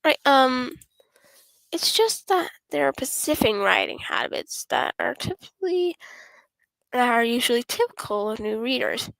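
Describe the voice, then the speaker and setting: Woman doing a timid bookish young woman from a game, high voice, slow n stammery, says sorry a lot. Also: lively intonation, gravelly, fairly bright tone, no echo, full character voice, low-quality recording. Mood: earnest, hesitant. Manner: stammering, hesitant. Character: shy, apologetic young woman, bookish literature club member.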